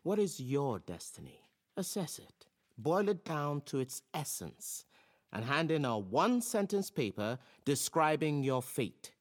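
An actor playing a forceful philosophy professor in an audio drama, lecturing in an emphatic, theatrical and blunt manner. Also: medium pitch, big pitch swings, a little slow. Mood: commanding.

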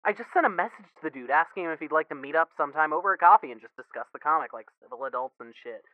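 A man's voice: a little high, lively intonation, racing, dry recording. A man playing an emotional, foul-mouthed young brother in a fan fiction audio drama, stammering and blurting over a phone filter. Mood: overwhelmed joy. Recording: thin phone-like band, dry.

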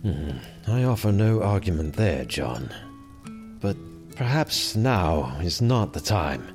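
An actor playing an uneasy medieval sheriff in an audio drama, hesitant, dry and understated. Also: male voice, low voice, very animated, slow, music in the background, full character voice.

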